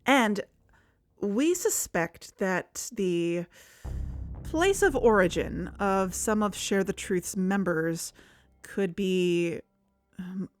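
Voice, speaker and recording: A woman's voice: mid-range voice, dry recording. A woman playing an earnest, suspicious podcast investigator in a conversational audio drama. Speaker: podcast co-host. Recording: music under the voice.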